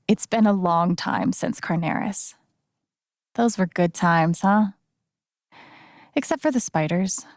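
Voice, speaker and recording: An actress playing a kind, playful young woman in a video game, speaking in a casual, gentle, naturalistic way. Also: medium pitch, no room sound, studio quality, close to an everyday voice. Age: young adult.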